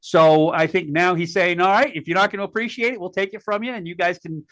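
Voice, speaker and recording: Chatty, bright-voiced host man. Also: sits high, steady tone. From Connecticut, USA. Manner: folksy, chatty, halting with repeated words. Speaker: podcast host. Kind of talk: casual interview talk.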